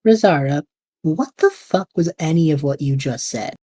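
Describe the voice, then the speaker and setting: A woman's voice: deep voice, lively intonation, moderate pace, mellow, slightly rough, dry recording, studio quality. A woman voicing a restless young male time traveler who whines and rambles dramatically, in an audio drama. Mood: bantering.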